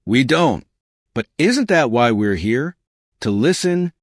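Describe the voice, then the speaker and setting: A determined female radio astronomer with a steady, urgent delivery, put on by a male narrator. Her lines move across a wide span of pitch, and the dry, close recording carries no room sound. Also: takes it slowly, no room sound.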